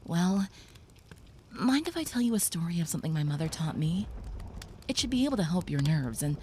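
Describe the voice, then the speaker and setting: A woman voicing a gentle, protective young female vampire in an audio roleplay, speaking softly and reassuringly, sometimes whispering. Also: fairly low pitch, big pitch swings, fairly quick, a little hiss or hum.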